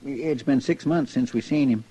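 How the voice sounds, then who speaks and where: A man acting an earnest, simple, deferential western deputy with a rural American accent and a husky voice, in old-time radio drama. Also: mid-range voice, moderate pace, dark tone, a little hiss or hum, natural voice. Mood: subdued. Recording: dull vintage radio recording.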